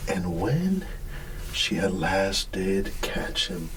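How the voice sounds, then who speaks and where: Sleepy, slow-talking man reading a bedtime story. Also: deep voice, very animated, a bit bright, slight background noise. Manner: drowsy, yawning, drawn-out halting delivery with hums. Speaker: bedtime storyteller in an ASMR roleplay. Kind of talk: ASMR roleplay, reading a children's story.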